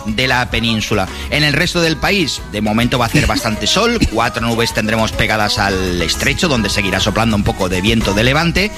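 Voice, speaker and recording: A man's voice: lowish voice, expressive, fairly quick, hardly any pauses. A man giving a casual, chatty radio weather report with playful wording. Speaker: weather presenter. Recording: radio broadcast with music behind.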